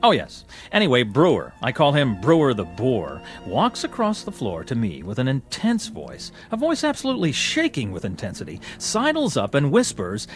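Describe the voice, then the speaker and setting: An actor playing a glib, shallow, boastful society dandy with an affected, talkative manner in a radio drama. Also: male voice, medium pitch, huge pitch swings, moderate pace, few pauses, faint music behind. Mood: animated, scornful. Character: glib, shallow, boastful upper-class dandy club bore.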